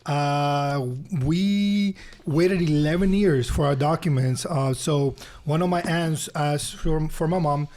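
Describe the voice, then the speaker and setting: A man's voice: a little high, takes it slowly, hardly any pauses, a little mellow. A man, a podcast guest telling his life story in an earnest, conversational way, often repeating phrases for emphasis. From Honduras. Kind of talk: casual storytelling interview.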